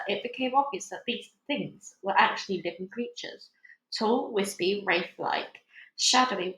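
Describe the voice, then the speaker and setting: A woman reads a children's story aloud as narrator. Her voice is medium in pitch and expressive. The recording has a clear room echo. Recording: echoey room.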